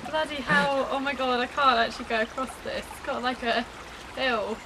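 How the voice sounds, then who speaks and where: A woman's voice: high-pitched, fairly even intonation, moderate pace, bright, forceful tone, soft background music, poor audio. A young British woman co-hosting a film vlog, chatting in an informal, understated way while walking outdoors. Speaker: co-host of a film discussion vlog. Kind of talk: casual chat while walking. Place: outdoors, walking in woods and a golf course.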